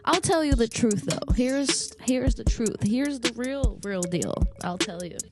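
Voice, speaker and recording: A woman's voice: on the high side, lively intonation, a little slow, over music. A young woman from Brazil, chatting casually and rambling through a storytime vlog, self-mocking and jumping between tangents. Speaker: vlogger. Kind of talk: casual storytime chat to camera.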